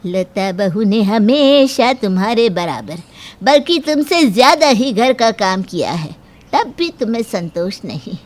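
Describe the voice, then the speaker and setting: Woman doing a stern but fair hindi cartoon mother in law, really slow, kinda high voice, scolding in a measured way. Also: very animated. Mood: grave, reproving. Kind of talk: Hindi moral-story cartoon dialogue.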